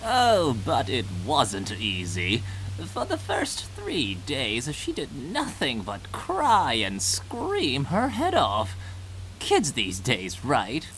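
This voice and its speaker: A woman's voice: very deep voice, huge pitch swings, slow pace, a bit bright, slight background noise, heavily acted, poor audio. A woman playing a gleefully cruel, mocking elderly patriarch in a comic anime parody, with a theatrical, sarcastic delivery. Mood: smug. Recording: music behind the voice.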